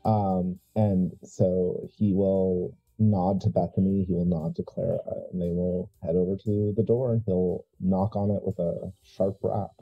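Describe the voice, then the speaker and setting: A man's voice: low-pitched, level tone, measured pace, creaky, few pauses, dull audio, soft background music. A man playing a tabletop roleplay game, casually improvising narration for his character.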